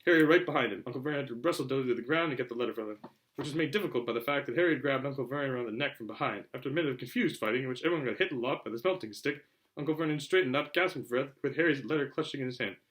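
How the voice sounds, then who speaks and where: Male story reader, quick pace, bright forceful tone, animated delivery with small stumbles. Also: mid-range voice, rough recording. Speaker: reader, show host. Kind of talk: amateur audiobook-style story narration.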